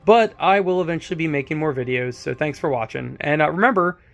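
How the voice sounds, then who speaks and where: A man getting over a cold, hoarse, narrating a tabletop wargame battle recap as its independent designer, with plenty of ums and uhs. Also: on the high side, quick pace. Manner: rambling play-by-play with many fillers.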